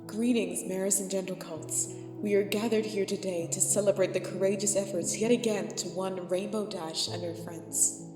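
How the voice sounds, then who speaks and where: A woman playing a gentle, regal pony princess with a measured manner in a fan audio drama. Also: medium pitch, close to an everyday voice. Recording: echoing hall reverb under music.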